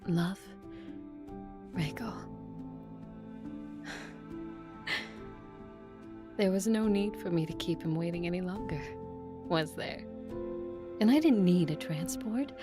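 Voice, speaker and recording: A woman acting a composed, tender and teasing vampire in an audio drama, with an intimate delivery and a husky voice. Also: lowish voice, lively intonation, unhurried, long pauses, over loud music. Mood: fond, confident. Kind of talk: first-person audio drama acting and narration.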